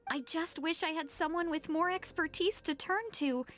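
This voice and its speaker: Game healer: anxious young girl, extremely high voice, self-doubting, music underneath. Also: normal speed, fairly bright tone. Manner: fretful, earnest. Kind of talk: video game voice acting.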